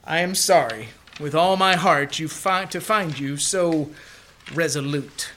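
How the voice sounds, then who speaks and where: A warm-hearted young nephew acted by a woman in a radio play. The voice is bright and forward, earnest and cheerful, pleading with real good will. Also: low voice, measured pace, a little hiss or hum. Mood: pleading, good-humored. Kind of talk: live radio play reading.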